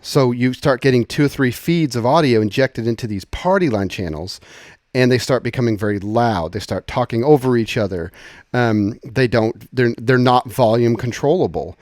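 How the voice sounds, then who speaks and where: A man giving an informal, conversational software tutorial, explaining step by step and often rephrasing as he goes. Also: mid-range voice, normal speed, no echo, studio quality. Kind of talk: casual screen-share tutorial, explanatory.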